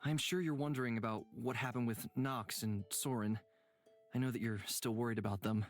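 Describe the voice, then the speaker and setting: A man playing a composed, kindly gentleman with a medium-pitched voice. He speaks politely and with measure, as in a visual novel, with music clearly underneath. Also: fairly even intonation, fairly quick, lightly acted. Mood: polite, sincere. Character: courteous, composed, kindly male traveler.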